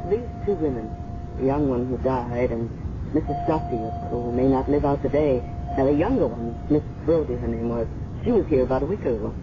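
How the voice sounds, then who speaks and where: An actor playing a measured, musing hospital doctor in a 1970s radio drama. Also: male voice, mid-range voice, natural voice. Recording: muffled, noisy 1970s radio recording.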